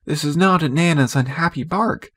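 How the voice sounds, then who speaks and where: A man's voice: on the high side, moderate pace, a bit bright, voice pushed up. Man reading as an earnest little girl, slightly raised. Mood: grave, certain. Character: wise, observant little girl, serious and sure.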